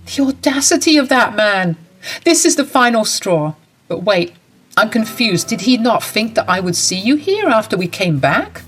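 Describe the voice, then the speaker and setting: A woman's voice: medium pitch, very animated, normal speed, a bit bright. Woman playing a fiery protective young bride. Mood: indignant.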